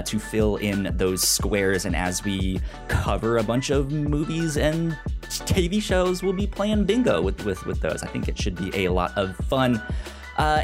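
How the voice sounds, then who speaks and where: Male podcast host over music. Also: medium pitch. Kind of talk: casual podcast interview and sponsor read.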